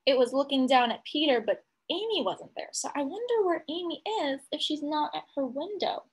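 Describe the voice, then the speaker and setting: A woman teacher reading a picture book to young children, explaining it as she goes and thinking aloud in an animated way. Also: high-pitched, normal speed.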